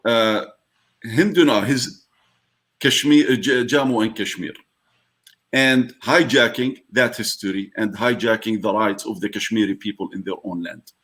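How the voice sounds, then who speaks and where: A man from Palestine speaks as a guest in a formal political address. His voice sits at a medium pitch. He is deliberate, pausing and repeating phrases as he goes. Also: a bit bright. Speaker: guest speaker, advocacy director.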